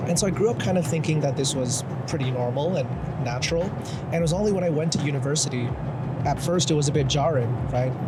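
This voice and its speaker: A man filming a travel vlog in an airplane cabin, talking straight to his viewers in a relaxed, reflective way. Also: medium pitch, heavy background noise. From Canada. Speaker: travel vlogger. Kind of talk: casual travel vlog narration.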